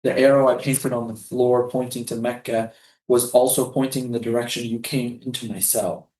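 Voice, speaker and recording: A man reading the part of a tender, defiant Palestinian refugee prisoner in a staged play, lyrical and deliberate. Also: mid-range voice, fairly even intonation, a little mellow, lightly acted. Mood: intimate, dreamy. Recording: roomy, echoing live stage reading.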